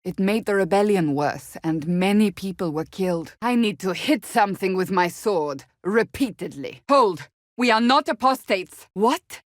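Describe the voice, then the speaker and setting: A woman's voice: mid-range voice, animated, unhurried, no echo, studio quality, lightly acted. An actress playing a stern, devout warrior woman in a fantasy video game, speaking in a formal, earnest, blunt way. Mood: forceful. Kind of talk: dramatic fantasy game acting.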